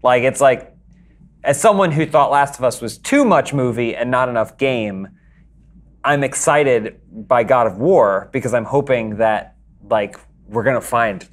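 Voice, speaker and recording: A young man talking casually on a podcast, animated and acting out lines from a game. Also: mid-range voice, unhurried. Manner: animated, acts out characters' lines in a raised voice. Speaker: podcast co-host. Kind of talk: casual chat.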